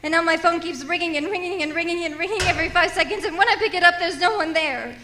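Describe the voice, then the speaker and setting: An actress playing a nervous, demanding, self-pitying bedridden wife in a radio thriller, with an imperious, complaining, overwrought delivery. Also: female voice, very high voice, fast, background noise. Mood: indignant. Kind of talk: radio thriller play acting.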